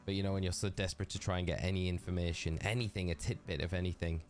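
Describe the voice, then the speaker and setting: A man's voice: low-pitched, steady tone, very fast, faint music behind. A man with a Geordie accent chatting casually and rambling on a live game stream, talking to his chat.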